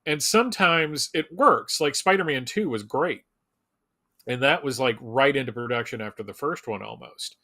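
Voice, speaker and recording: A man's voice: medium pitch, lively intonation, moderate pace, pushed and bright. Man in casual, emphatic fan talk. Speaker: Superman fan commentator on a fan live show.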